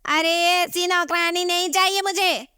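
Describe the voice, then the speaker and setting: A woman voicing a scolding, exasperated mother-in-law in an animated story, with a nagging, theatrical delivery. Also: very high voice, measured pace, dry recording, clean studio sound, exaggerated voice. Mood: angry. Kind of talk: Hindi animated moral story voice acting.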